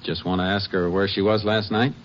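Actor as a steady Texas Ranger lawman, lowish voice, measured, muffled old radio sound. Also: a little hiss or hum, lightly acted. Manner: calm, measured. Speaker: narrator and lead. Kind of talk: 1950s radio drama acting.

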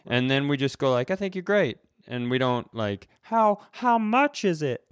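A man's voice: a little high, big pitch swings, moderate pace, clean tone, no room sound, clean studio sound. A man co-hosting a podcast in casual comedic chat, given to profane, exasperated rants. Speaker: podcast co-host.

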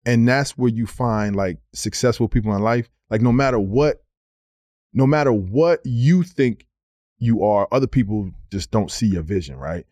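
A man in his thirties, a former pro basketball player, telling stories on a podcast in a casual, animated way, repeating words as he goes. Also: on the low side, normal speed, dry recording, studio quality. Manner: animated storyteller, slips into quoted voices, repeats words. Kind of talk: casual storytelling.

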